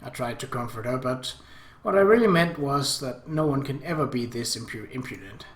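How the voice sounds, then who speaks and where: A man narrating a visual novel aloud as an expressive, theatrical first-person story reading, in an echoey room. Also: medium pitch, normal speed. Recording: roomy, echoey home recording.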